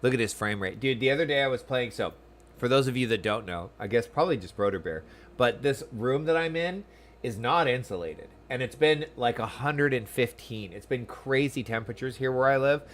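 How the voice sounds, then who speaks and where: An American man streams a video game from home, talking in a loose, rambling way. He pokes fun at himself and chats with his viewers in a medium-pitched voice. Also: expressive, fairly quick, fairly bright tone. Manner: chatty, rambling, self-deprecating, reads chat aloud. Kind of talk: casual livestream chat over gameplay. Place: small room at home.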